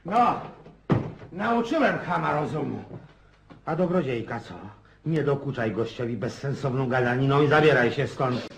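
An actor playing a blustering, self-important old countryside landowner in a radio play, rambling and folksy with a husky voice. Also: male voice, medium pitch, expressive, moderate pace, a little hiss or hum. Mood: indignant, bossy.

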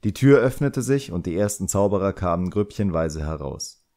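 Low-voiced man reading an audiobook. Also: expressive, normal speed, dark tone. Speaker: audiobook narrator. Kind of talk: scripted narration of a fantasy novel.

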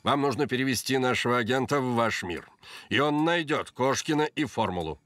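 A man voicing a formal, commanding but easily startled president in an animated series. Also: mid-range voice, a little fast, no room sound, lightly acted. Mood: grave, businesslike. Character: official, easily startled male cartoon president. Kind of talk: animated series character acting.

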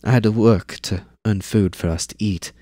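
A man's voice: on the low side, fairly even intonation, normal speed, no echo, studio quality, lightly acted. A man voicing an eleven-year-old girl laborer, plucky and matter-of-fact. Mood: eager. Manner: bright, eager, talkative.

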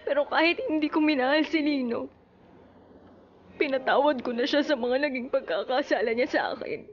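An actress playing a long-suffering young widow who talks softly and emotionally, on a muffled radio drama recording. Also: female voice, high-pitched, moderate pace, slight background noise, close to an everyday voice. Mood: sorrowful.

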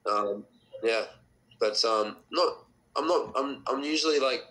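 Hesitant man with a bright voice. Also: mid-range voice, measured pace, low-quality recording. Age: 40s. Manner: hesitant, many ums and restarts. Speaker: client of a reading. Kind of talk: casual conversation.

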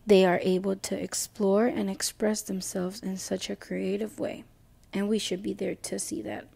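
A young woman with a Mexican background reading a prepared self-introduction as an education student, slowly and carefully. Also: mid-range voice, level tone, creaky, warm tone, slightly rough. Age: young adult. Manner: slow, careful reading, switching to Spanish for a passage.

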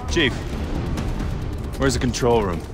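An actor playing a gruff, terse, commanding field leader of a covert operations team in a military thriller game. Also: male voice, medium pitch, animated, slow pace, over music. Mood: firm, urgent.

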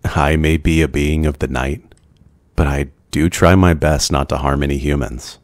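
A man's voice: a bass voice, steady tone, normal speed, dry recording, studio quality. A man playing a lonely, courteous immortal vampire in an intimate ASMR roleplay, speaking formally and hesitantly. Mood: regretful, brooding.

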